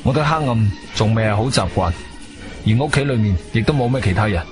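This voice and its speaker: A man performing a stern, controlled hero narrating a radio play. His pitch moves widely and dramatically, with clear music playing behind him. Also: lively intonation, quick pace, lightly acted. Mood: weary, stern. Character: composed, wronged male adventurer narrating his own ordeal. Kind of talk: first-person radio drama narration and dialogue.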